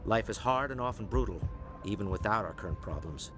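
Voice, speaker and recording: A man voicing an embattled colony leader from a sci-fi game, earnest and formal. His voice sits low, and music plays clearly behind it. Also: lively intonation, a little fast, natural voice. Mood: weary, earnest. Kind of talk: video game dialogue acting.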